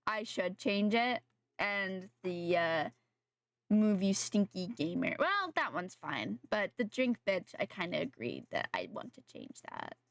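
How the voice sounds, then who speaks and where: Nasal young woman gaming on stream, sore throat, slow chatty rambling, bouncy pitch, dry close sound. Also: huge pitch swings, creaky, no room sound. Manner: rambling, self-correcting, chatting to viewers. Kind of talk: casual live-stream chat while gaming.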